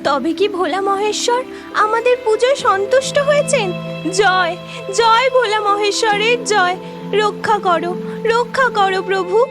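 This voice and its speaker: A woman's voice: very high-pitched, unhurried, music in the background. A woman voicing a devout, awestruck village woman in a cartoon, exclaiming and beseeching. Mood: awed devotion.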